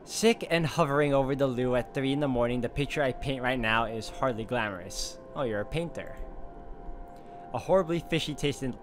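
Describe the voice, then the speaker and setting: A man's voice: mid-range voice, fairly quick. A man narrating a visual novel aloud, breaking off for wry comments, with game music playing. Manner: wry, quips between narration. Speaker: game streamer.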